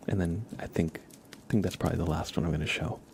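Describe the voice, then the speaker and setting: A man hosting an ASMR livestream, chatting casually and haltingly in a soft, hushed voice that drifts into whispering. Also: sits low, creaky. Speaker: livestream host. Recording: steady background noise with keyboard typing.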